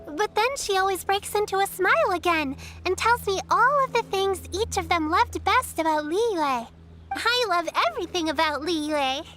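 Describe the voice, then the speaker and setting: A woman's voice: very high voice, animated, moderate pace, music in the background. A woman voicing a cheerful little girl in a video game, with an earnest, childlike way of telling things. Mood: wistful, earnest. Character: cheerful little girl, apprentice of a wise master.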